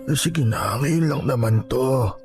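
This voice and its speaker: A man's voice: medium pitch, animated, a little slow, a little mellow, soft background music, natural voice. An actor playing a proud, poor old grandfather who works as a street vendor, in Filipino radio drama style, hesitant and pleading. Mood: sheepish, pleading.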